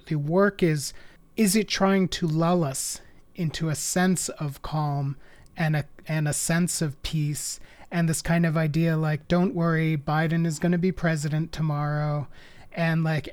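This interviewer builds a long, discursive question with academic references and dry humor. The voice sits at an ordinary middle pitch. The delivery is wandering but pointed. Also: darkish tone.

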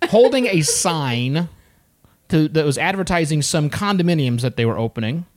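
A man recounts a story in easygoing podcast chat. His pitch swings widely as he goes, and he slips into voices for the other people in it. The tone stays loose and conversational. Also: animated, normal speed. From United States.

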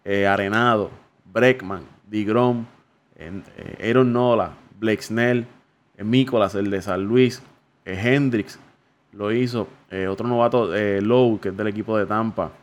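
Puerto rican guy hosting a baseball talk show, kinda low voice, rambles with lots of ehs. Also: fairly even intonation, measured pace. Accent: Puerto Rican Spanish. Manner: discursive, many "eh" fillers. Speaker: sports show host.

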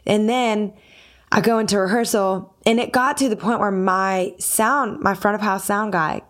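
A woman's voice: mid-range voice, fairly even intonation, normal speed. A young adult woman, a singer, talking casually and acting out quoted dialogue as she tells a story. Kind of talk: casual conversation.

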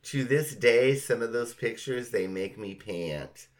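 A middle-aged man reminiscing casually with a friend as a podcast host, gushing and sweary and quick to laugh. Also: mid-range voice.

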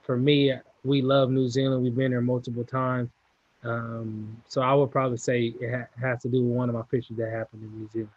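A man's voice: medium pitch, fairly quick, no room sound. Laid-back young man, dry dull sound. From California. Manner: relaxed, laid-back, repeats short agreements. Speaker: football player. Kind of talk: casual interview answers.

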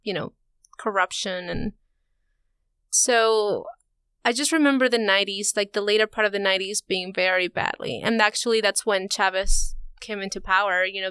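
A woman's voice: mid-range voice, a bit bright, clear, resonant tone, dry recording. A young woman from Venezuela, a college student answering interview questions in a chatty way, peppered with "you know" and "like". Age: young adult. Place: studio.

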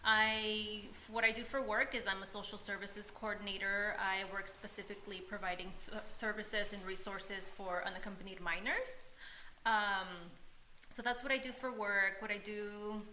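A woman's voice: mid-range voice, fairly even intonation, normal speed, sharp tone, reverberant, slight background noise, low-quality recording. A young woman from Peru, a podcast guest, chatting casually with many fillers and frequent laughter.

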